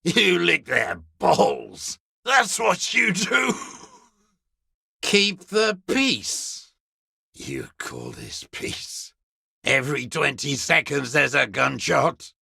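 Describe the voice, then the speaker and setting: An actor playing a bitter, dying old communist deserter in a video game, raspy and contemptuous, given to cursing and ideological scorn. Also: male voice, on the high side, very animated, drawn-out words, bright, forceful tone, no echo, clean studio sound, full character voice. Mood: furious. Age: older. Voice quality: gravelly, raspy. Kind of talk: dramatic video game voice acting.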